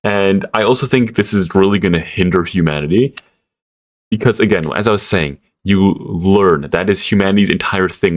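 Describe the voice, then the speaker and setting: A young man from Switzerland ranting casually to camera, rambling with stammered restarts, lots of fillers and swearing. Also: low-pitched. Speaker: vlogger.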